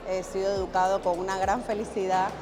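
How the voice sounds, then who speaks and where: A woman's voice: medium pitch, level tone, fairly quick, music in the background. A woman with a Cuban accent speaking thoughtfully in a documentary interview, with frequent hesitant fillers. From Cuba.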